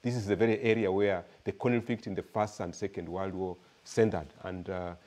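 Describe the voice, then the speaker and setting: A Kenyan man speaks as a guest lecturer, measured and unhurried, often repeating a word while he finds the next. His voice sits low and sounds mellow and relaxed. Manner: measured, repeats words while searching for them.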